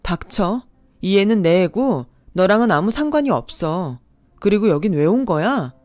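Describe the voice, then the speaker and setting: A woman's voice: mid-range voice, expressive, normal speed, darkish tone, no room sound, lightly acted. A woman voicing her younger self, an angry young single mother who answers back sharply.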